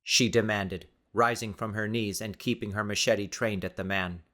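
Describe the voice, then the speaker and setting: Male audiobook narrator, lower register, scripted dramatic storytelling delivery. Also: fairly even intonation, moderate pace, fairly bright tone.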